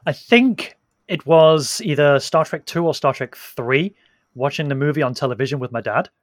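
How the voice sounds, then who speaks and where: Man giving relaxed interview answers. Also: fairly high pitch, moderate pace, no room sound, clean studio sound. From Hong Kong, Spain and England. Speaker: podcast guest, audio drama creator.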